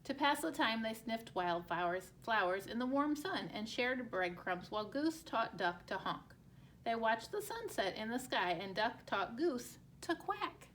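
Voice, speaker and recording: A woman's voice: on the high side, sing-song, a little slow, fairly bright tone, a little hiss or hum, rough recording. A woman teacher narrating a picture book expressively as she reads aloud to children.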